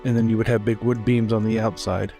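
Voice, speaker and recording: A man's voice: lowish voice, flat delivery, a little fast, creaky, warm tone. A man playing a tabletop game, describing scenes in improvised collaborative storytelling. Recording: faint music behind.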